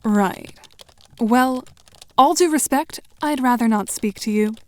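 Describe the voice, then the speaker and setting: A woman's voice: a little high, slow pace, background noise. A woman playing a dry, self-assured, sardonic teenage girl with formal diction in an audio drama. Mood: curt, annoyed.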